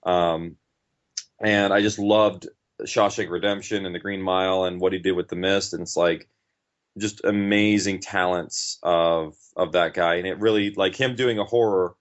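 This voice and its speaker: A man's voice: sits low, fairly even intonation, moderate pace. A man talking casually as an interview guest, in an informal, rambling and enthusiastic way. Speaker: interview guest, podcast writer and director. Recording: dull, lo-fi audio.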